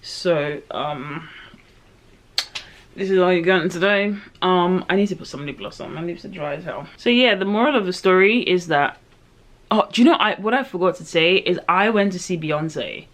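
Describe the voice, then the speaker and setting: A woman in her late twenties talking to her camera while doing her makeup, chatty and reflective, rambling with lots of "um" and "like", with sudden excited jumps in pitch. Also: normal speed. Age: late 20s. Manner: chatty, rambling, heavy use of fillers like "um" and "like". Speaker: vlogger. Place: at home in front of her own camera.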